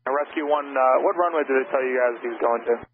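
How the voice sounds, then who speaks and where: A male air traffic controller talks quickly in plain, explanatory radio calls. His voice comes through a thin, narrow channel with clear static and noise around it. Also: mid-range voice. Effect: aviation radio. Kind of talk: live radio traffic control instructions and updates.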